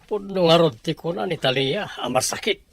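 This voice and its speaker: A man's voice: on the high side, lively intonation, normal speed, creaky, fairly bright tone, no echo, heavily acted. An actor playing a stern, worried father and businessman husband in a radio drama. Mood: stern, questioning.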